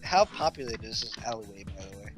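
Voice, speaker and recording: A man's voice: lowish voice, expressive, fast talker, soft background music. A man talking casually as a player in a tabletop role-playing game. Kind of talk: casual chat.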